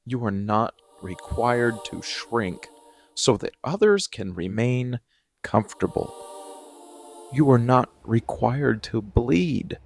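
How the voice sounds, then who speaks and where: Man performing a very slow, gravelly brooding villain. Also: medium pitch, lively intonation, slight background noise. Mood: resolute, grave. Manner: deliberate, dramatic pauses, intense and measured. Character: brooding dark fantasy villain, hardened, defiant, wounded man. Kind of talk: dark ASMR villain monologue, theatrical and motivational.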